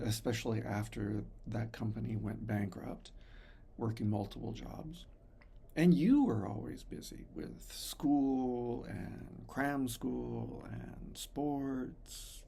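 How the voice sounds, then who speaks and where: A man in his fifties from the United States, talking slowly and thoughtfully to his son in a reflective personal vlog. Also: medium pitch, gravelly, slightly rough. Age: 50s. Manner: slow, thoughtful, pausing often, soft-spoken. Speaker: father recording messages for his son.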